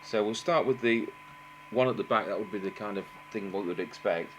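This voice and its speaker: A middle-aged man giving an unscripted technical demonstration as a radio engineer, haltingly thinking aloud. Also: on the low side. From England. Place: indoor workbench, voice off camera. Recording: noticeable background noise with hum.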